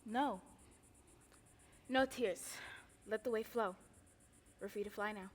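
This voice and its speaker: An actress on a theatre stage playing a wounded yet defiant enslaved daughter who speaks pointedly. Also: female voice, mid-range voice, takes it slowly, slight background noise, lightly acted. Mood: loving, calm. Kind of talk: stage play acting. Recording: reverberant theatre stage recording.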